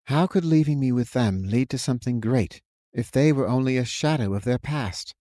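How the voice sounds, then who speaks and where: A man's voice: medium pitch, animated, normal speed, dry recording, clean studio sound, voice pushed up, lightly acted. A man voicing an earnest young warrior son. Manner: earnest, gentle delivery. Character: young male warrior returning home, earnest. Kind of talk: audiobook character voice.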